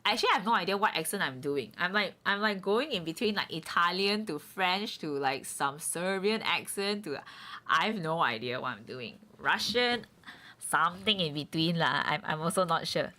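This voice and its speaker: A woman's voice: on the low side, lively intonation, moderate pace, hardly any pauses, pushed and bright. A woman streaming a game, chatting casually and poking fun at her own voice acting. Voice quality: chatty, self-mocking. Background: game music.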